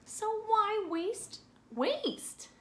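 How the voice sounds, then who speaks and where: Woman as a very high-pitched inquisitive child. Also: sing-song, extremely slow, full character voice. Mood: curious, surprised. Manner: inquisitive, exaggerated. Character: curious young schoolchild in a children's storybook. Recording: roomy echo with background noise.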